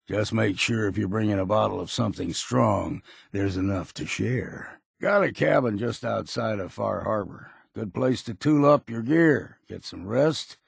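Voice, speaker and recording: A grizzled old male hunter and guide for a video game. The voice is low and thick with rasp, close and dry. He talks in a folksy, laconic way, like a hard drinker who knows the wilds. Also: expressive, a little slow, few pauses, dry recording, exaggerated voice. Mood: grizzled, matter-of-fact. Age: older. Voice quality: gravelly, raspy. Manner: folksy, dropped endings, laconic. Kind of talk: video game character acting.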